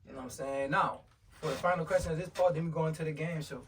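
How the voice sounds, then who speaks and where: Fast-talking blunt podcast host, distant. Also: male voice, on the high side, slight background noise. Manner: quick, blunt, swears freely. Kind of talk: casual podcast chat.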